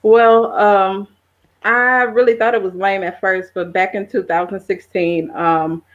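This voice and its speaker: A middle-aged woman answering as a podcast guest, talking casually in a halting, conversational way. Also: medium pitch, fairly even intonation, muffled recording. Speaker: podcast guest, travel content creator. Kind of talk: casual interview chat.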